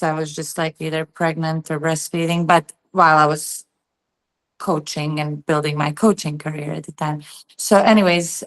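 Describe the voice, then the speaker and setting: A woman in her thirties from Bosnia and Herzegovina, a guest telling her story in a chatty interview, full of "like" and "you know". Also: on the low side. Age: 30s. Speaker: guest, tennis player and coach. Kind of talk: casual interview chat.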